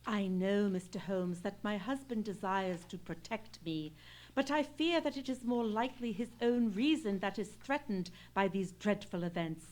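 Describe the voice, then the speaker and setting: A woman's voice: medium pitch, expressive, normal speed, darkish tone, close to an everyday voice. A woman playing an anxious, eloquent English aristocratic lady in an old-time radio play, speaking in formal, theatrical period diction. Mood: anxious, pleading.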